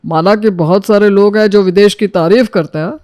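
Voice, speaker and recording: A man's voice: high voice, fairly even intonation, brisk, darkish tone, smooth, dry recording, studio quality, natural voice. A man voicing a reasonable older father-in-law who gives homely advice in a moral story. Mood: reasoning.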